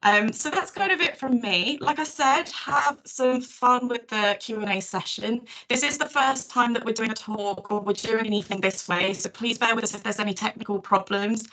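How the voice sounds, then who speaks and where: Woman, webinar host, bright encouraging voice, on a video call. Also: a little high, moderate pace, few pauses, poor audio. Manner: friendly and encouraging. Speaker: event host. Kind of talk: casual webinar talk.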